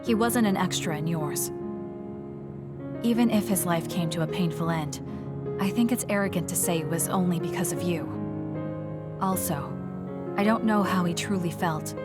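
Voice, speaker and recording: Casting: actress as cool young female soldier for a video game, lowish voice, reserved and blunt, music underneath. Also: normal speed, pauses often, natural voice. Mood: calm, earnest. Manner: reserved, matter-of-fact, blunt. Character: cool, guarded, logical young woman soldier. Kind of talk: English video game voice acting.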